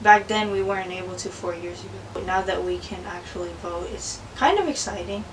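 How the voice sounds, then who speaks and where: A woman's voice: on the low side, fairly quick, slight background noise, poor audio. A young woman from Hayward, California, speaking a little nervously but hopefully. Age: young adult. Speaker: first-time voter interviewee.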